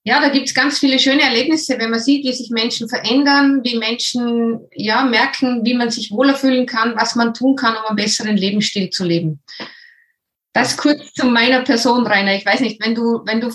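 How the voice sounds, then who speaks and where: Higher-pitched middle-aged Austrian woman. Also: fairly even intonation, moderate pace, fairly bright tone, low-quality recording. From Upper Austria and Salzburg region, Austria. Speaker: interview guest, health and nutrition coach. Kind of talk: casual self-introduction in an interview.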